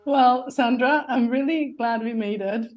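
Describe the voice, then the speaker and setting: A woman's voice: fairly high pitch, darkish tone, highs cut off, faint music behind. A woman hosting a podcast in casual interview chat, upbeat and laughing often. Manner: upbeat, laughs often, slow careful intro. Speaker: podcast host.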